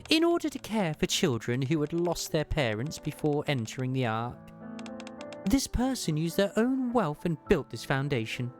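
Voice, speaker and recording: A man voicing an ancient, knowing woman from a video game, who recalls things fondly in measured, formal speech. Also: a little high, huge pitch swings, soft background music. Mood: fond, earnest. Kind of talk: read-aloud video game dialogue.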